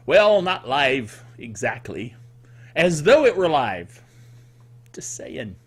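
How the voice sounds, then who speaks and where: An older man with a high, bright voice hosts a casual podcast. His pitch swings widely as he leans on words and draws them out. He rambles off the cuff with playful, mock-grumpy asides and little vocal sound effects. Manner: animated, emphatic delivery with drawn-out words. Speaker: podcast host. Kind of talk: casual solo tech show, chatty and off the cuff. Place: small home studio.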